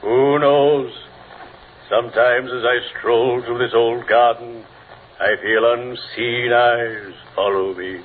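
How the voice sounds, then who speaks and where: A male actor playing a genteel, morbid undertaker in old-time radio comedy, speaking formally and slowly with a solemn deadpan delivery. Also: medium pitch, close to an everyday voice. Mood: ominous. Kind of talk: 1940s-50s radio comedy acting. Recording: noisy, tinny old radio broadcast.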